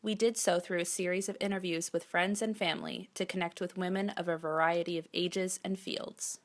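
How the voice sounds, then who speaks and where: Low-ish woman reading an intro. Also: fairly quick. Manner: steady, read-aloud delivery. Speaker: student narrator. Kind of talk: scripted narration.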